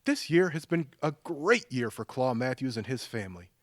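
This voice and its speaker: A man's voice: medium pitch, sing-song, normal speed, no room sound, natural voice. A man acting in an audio drama as a supportive, sardonic older brother with a dry, teasing humor.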